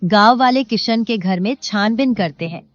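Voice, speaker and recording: A woman's voice: medium pitch, moderate pace, highs cut off. A woman narrating a scripted moral story as its storyteller.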